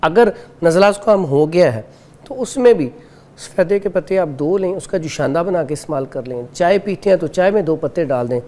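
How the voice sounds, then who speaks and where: A man's voice: fairly high pitch, animated, a little fast, mellow. A man from Pakistan, a studio guest giving herbal health advice, earnest and instructive, going step by step. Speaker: herbal remedy expert guest.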